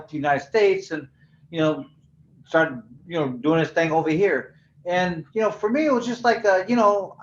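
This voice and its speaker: Brief: older man, fairly high voice, casual rambling storyteller, roomy echo, dull lo-fi sound. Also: slight background noise. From California. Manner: laid-back, rambling, heavy "you know" fillers. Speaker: guest, former professional boxer.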